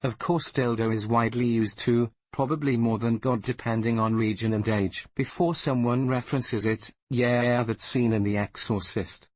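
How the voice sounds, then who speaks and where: Man with an average voice reading comments out briskly, dry and close. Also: mid-range voice, fairly even intonation, darkish tone, no room sound. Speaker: comment reader. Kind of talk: reading forum comments aloud.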